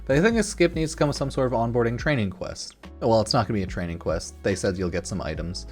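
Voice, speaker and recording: A man's voice: lowish voice, animated, fast, darkish tone. A man giving casual, rambling opinion commentary as a gaming content creator, informal and sweary, sometimes reading comments aloud. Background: music in the background.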